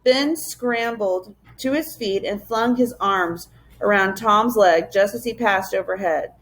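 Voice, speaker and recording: A woman reading a children's adventure story aloud as a teacher would, in expressive narration. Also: mid-range voice, measured pace, poor audio.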